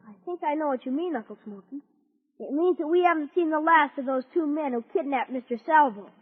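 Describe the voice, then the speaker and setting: Woman playing high-voiced eager young ranch boy. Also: a little fast, natural voice. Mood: eager, curious. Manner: wide-eyed, earnest. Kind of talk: vintage radio serial acting. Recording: muffled noisy old radio recording.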